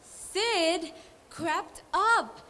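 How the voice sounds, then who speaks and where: A teenage girl from Thailand reading a children's story aloud with lively expression at a stand mic on a hall stage. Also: very high-pitched, very slow. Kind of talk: readers' theatre storybook reading. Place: large indoor hall stage. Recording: reverberant hall, faint noise.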